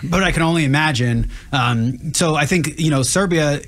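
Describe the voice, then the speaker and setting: A man's voice: on the high side, normal speed, fairly bright tone. A man co-hosting a radio talk show in a studio, playful and self-deprecating, often cutting in. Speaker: co-host. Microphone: studio boom mic.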